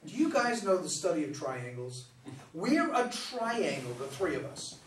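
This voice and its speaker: A man's voice: a little high, very animated, measured pace. A man playing an earnest, pedantic son trying to mediate between bickering parents in a staged play reading. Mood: exasperated, earnest. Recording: echoey, noisy room, distant microphone.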